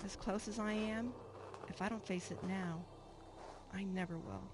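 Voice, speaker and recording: A woman's voice: mid-range voice, unhurried, lightly acted. Actress as middle-aged woman, radio drama, reflective, earnest, wistful, mid-range voice, noticeable background noise. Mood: reflective, troubled. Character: troubled, wistful woman returning home to her estranged mother. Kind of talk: radio drama acting with first-person narration.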